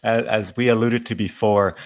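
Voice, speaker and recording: A man with a fairly low voice hosts a casual interview. He explains things haltingly, building his point in short pieces and checking that the listener follows. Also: fairly even intonation. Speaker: interview show host.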